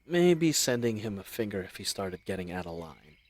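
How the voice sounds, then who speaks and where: A man's voice: lowish voice, big pitch swings, moderate pace, a little mellow. A man playing a chatty, roguish bandit leader in an audio roleplay, with folksy slang and teasing mock courtesy. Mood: chatty, matter-of-fact. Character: roguish, chatty, friendly male bandit leader, mockingly polite. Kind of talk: scripted audio roleplay addressed to the listener.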